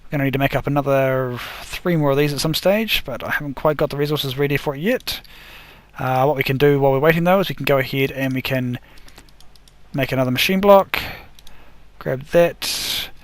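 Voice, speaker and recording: A man's voice: mid-range voice, brisk, no room sound. Man rambling through a crafting build. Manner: rambling, self-correcting, talks through each step. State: losing his voice. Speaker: gaming video host. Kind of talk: casual gameplay commentary.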